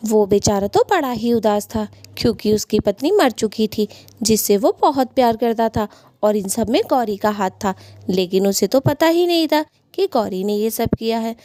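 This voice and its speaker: A female storyteller with a mellow, softly rounded voice at a middle pitch. She narrates a scripted cartoon tale, recorded dry and close. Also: lively intonation, moderate pace, dry recording.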